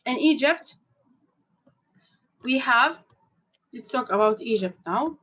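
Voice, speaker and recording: Woman, math teacher, questions her class, high-ish voice, lo-fi classroom sound. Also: lively intonation. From Jordan. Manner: slow, repetitive questioning of students. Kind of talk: classroom lesson.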